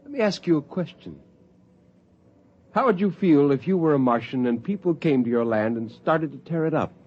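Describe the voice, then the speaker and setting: An actor playing a brooding, literate spaceman turned zealot, intense and deliberate, in a 1950s radio drama. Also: a little high, lively intonation. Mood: brooding, quietly menacing. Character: brooding, poetic male spaceman turned cold-blooded zealot. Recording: dull vintage 1950s radio recording.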